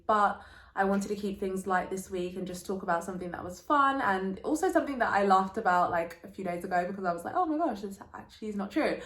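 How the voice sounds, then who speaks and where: A young woman talks to her viewers in a casual, chatty vlog style, with plenty of informal slang. Her pitch sits in an ordinary range for a woman. The sound is noticeably roomy, with echo around the voice. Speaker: vlogger, channel host. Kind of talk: casual sit-down vlog chat, telling anecdotes. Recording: roomy, echoey indoor sound.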